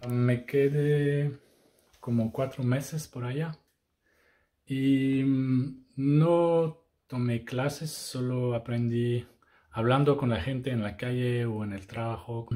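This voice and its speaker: Slow-speaking man. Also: mid-range voice, steady tone, mellow. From Switzerland. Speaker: interviewee.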